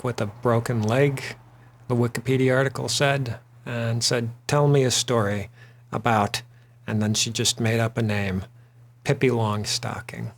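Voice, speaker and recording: Slow-talking male artist host. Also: medium pitch. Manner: relaxed and rambling, frequent "uh" fillers. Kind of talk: drawing while talking, casual chat.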